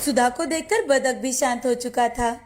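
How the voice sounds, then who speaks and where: High-pitched woman storyteller. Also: moderate pace. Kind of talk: scripted children's story narration. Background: soft background music.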